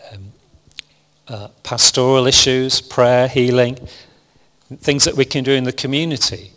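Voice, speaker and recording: Calm preacher man in a reverberant hall. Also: medium pitch. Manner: calm, even, self-described monotonous delivery with frequent restarts. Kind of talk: sermon. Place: church hall with congregation.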